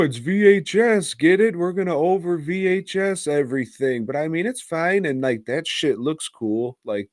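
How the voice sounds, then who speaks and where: A man's voice: medium pitch, dry recording, studio quality. A man hosting a casual movie review podcast, talking in a crude, slangy, rambling way with plenty of swearing.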